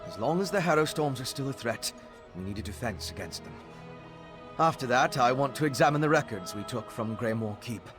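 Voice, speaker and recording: A man's voice: mid-range voice, animated, brisk, lightly acted. An actor playing a wry, self-mocking male vampire scholar in a video game, with background music. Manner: wry, self-deprecating, musing.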